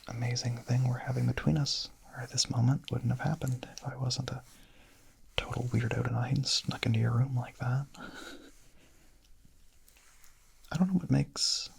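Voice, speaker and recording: A man playing a shy, gentle young vampire in a whispered ASMR roleplay, murmuring softly, hesitantly and intimately in a breathy voice. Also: medium pitch, exaggerated voice. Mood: tender, hesitant. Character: shy, gentle young male vampire, smitten misfit confessing.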